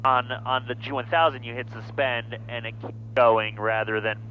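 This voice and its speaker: A man's voice: mid-range voice, flat delivery, moderate pace. A man riding along as a fellow pilot in a small plane, chatting casually through a headset. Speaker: passenger pilot filming the flight. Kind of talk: casual cockpit chat. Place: small airplane cockpit in flight. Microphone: aviation headset. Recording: muffled headset audio, faint engine noise. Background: engine hum and radio.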